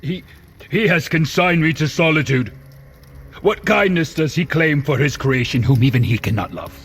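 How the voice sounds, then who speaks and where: A man playing a wretched, vengeful man-made monster in an audio drama, speaking in grandiose, archaic, deliberate phrases. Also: on the high side, expressive, a little hiss or hum. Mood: wounded, resentful.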